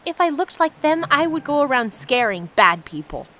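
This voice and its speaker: A woman's voice: high-pitched, very animated, moderate pace, a bit bright, voice pushed up. A woman voicing an innocent, earnest young girl in a video game, with a simple, wondering manner. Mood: earnest, eager.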